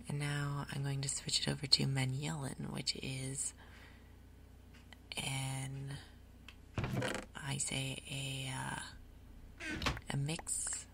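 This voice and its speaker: A woman hosting a gaming video in a soft, breathy ASMR whisper, explaining things gently and without hurry. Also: a bass voice, a little hiss or hum. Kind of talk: whispered ASMR game commentary.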